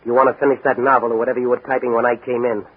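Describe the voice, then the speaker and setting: A man's voice: medium pitch, level tone, rapid-fire, breathy edge, close to an everyday voice. A man playing a tough, confident young masked crimefighter in a 1940s radio drama, American accent, commanding and wry. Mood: brisk, self-assured. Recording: crackly, narrow-band 1940 radio recording.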